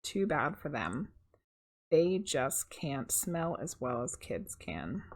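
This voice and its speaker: A middle-aged woman reading a children's picture book aloud, expressive and conversational, with casual asides to her viewers and a slightly husky voice. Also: low-pitched, slow, a little hiss or hum.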